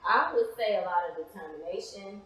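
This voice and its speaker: A woman's voice: mid-range voice, fairly quick, fairly bright tone. An American woman giving casual interview answers as a guest playwright. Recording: very echoey, reverberant room.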